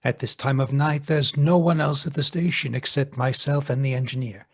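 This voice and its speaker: A man's voice: mid-range voice, steady tone, normal speed, slightly rough. A man playing a smug radio broadcaster under suspicion in an old-time mystery play. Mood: smug, explanatory. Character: smug male radio broadcaster suspected of murder. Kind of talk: golden-age radio mystery acting.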